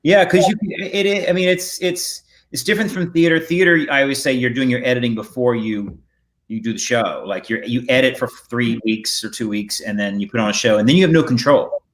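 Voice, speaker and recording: Middle-aged male director-host, mid pitch, halting and repetitive phrasing, informal video call. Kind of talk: casual video panel discussion. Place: indoor room on a video call. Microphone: video call microphone.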